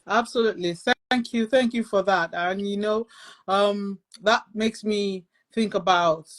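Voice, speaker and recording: Woman from nigeria moderating a live chat, mid-pitched voice, kinda halting as she asks stuff. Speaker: host of a live discussion. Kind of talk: conversational moderating of a panel.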